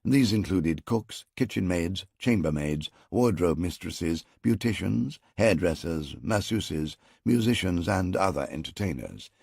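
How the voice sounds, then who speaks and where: A man reads a historical audiobook in a measured, wry first-person manner. His voice is very deep with a husky grain, and it moves animatedly up and down. The sound is dry and close. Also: lively intonation, darkish tone, no echo. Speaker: audiobook narrator.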